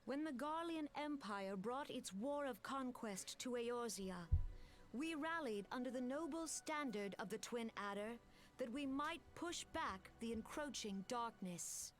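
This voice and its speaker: An actress voicing a solemn woman military commander who addresses her people in a grave, formal and measured way. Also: on the high side, expressive, slight background noise. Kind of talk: video game cutscene voice acting.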